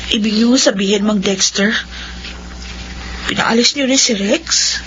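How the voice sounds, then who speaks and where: Actress as soothing Filipina friend, mid-pitched. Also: female voice, measured pace, fairly bright tone, close to an everyday voice. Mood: concerned. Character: gentle, pleading female friend, a calming confidante. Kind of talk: Filipino radio drama acting. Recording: dull lo-fi radio, faint noise.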